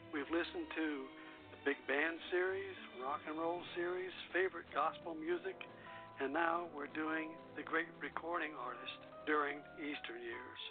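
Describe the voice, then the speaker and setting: Man, radio host, scripted narration, higher-pitched voice, phone-like tinny audio, loud music underneath.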